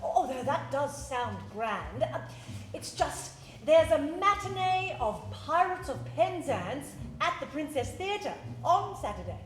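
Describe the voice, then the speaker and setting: A woman playing a nervous young gentleman suitor in a live radio play, stammering, flustered and overly formal. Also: high-pitched, sing-song, slow, slight background noise, heavily acted. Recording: roomy stage sound from a distance, with music.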